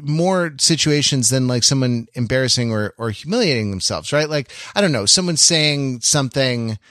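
A man hosting a podcast in casual analytical chat, wordy and wry, halting and circling back as he thinks. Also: medium pitch, bright, forceful tone, dry recording, clean studio sound. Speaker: podcast host.

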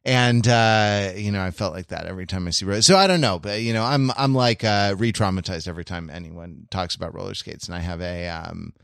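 Male co-host, lower register, wry and halting, casual anecdotes, no room sound. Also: vocal fry, a bit bright, clear, resonant tone, dry recording, clean studio sound. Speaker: podcast host. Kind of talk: casual chat.

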